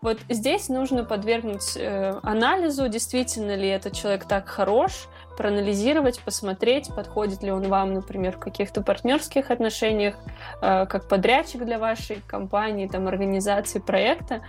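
A woman's voice: medium pitch, moderate pace, a little mellow, faint music behind. A woman speaking as a podcast guest or presenter, explaining things in an informal, colloquial way.

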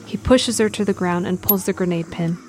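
A woman's voice: fairly low pitch, fairly quick, heavy background noise. A woman reading scripted present-tense narration for an audio drama.

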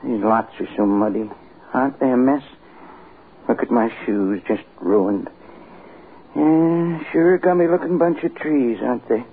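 Husky, miserable tree seller. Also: male voice, mid-range voice, unhurried. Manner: mournful, whining, deadpan. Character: miserable, worn-out, complaining male Christmas tree seller. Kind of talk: old-time radio comedy acting. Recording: tinny vintage radio broadcast.

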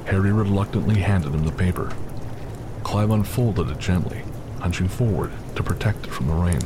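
A man's voice: deep voice, moderate pace, noisy background. A man giving scripted, dramatic narration for an audio story. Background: music, rain and storm sound effects.

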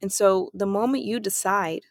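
A woman from Germany hosting her own podcast, talking through her thoughts in a calm, reflective solo monologue. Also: medium pitch, normal speed, creaky, dry recording, studio quality. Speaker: podcast host.

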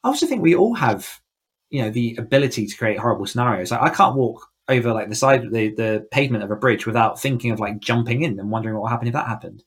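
A man's voice: mid-range voice, lively intonation, fast, breathy edge. Man in casual, reflective interview chat. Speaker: podcast guest, horror author.

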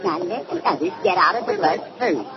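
Bossy tourist wife played by a woman, old-time radio: excitable, very lively pitch, muffled recording, crowd behind. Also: fairly quick, heavy background noise, lightly acted. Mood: teasing. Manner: fluttery, exclaiming. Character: bossy, chattering, excitable wife on a sightseeing tour.

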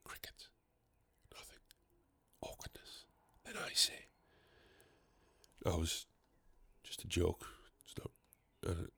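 A man's voice: deep voice, vocal fry, fairly bright tone, breathy edge. A man hosting his podcast solo from his bedroom, rambling and joking at his own expense, with a snotty, stuffed-up voice from a cold. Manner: rambling, self-mocking, jokey asides. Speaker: podcast host and stand-up comedian.

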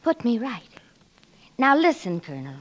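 A spirited frontier woman with a fairly high, very animated voice. She is proud, quick to bristle and teasing, in vintage radio drama style. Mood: indignant, teasing. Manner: brisk, indignant, teasing. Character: feisty, proud frontier cook, flirtatiously indignant. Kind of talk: 1940s radio acting. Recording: 1940s radio broadcast recording.

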